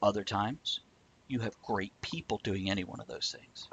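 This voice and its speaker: A man's voice: fairly low pitch, moderate pace, fairly bright tone, slightly rough. A man hosting a live show in a casual, blunt, opinionated way, chatting back to viewers and swearing freely. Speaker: host of a live talk show. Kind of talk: casual chat, answering live viewer comments.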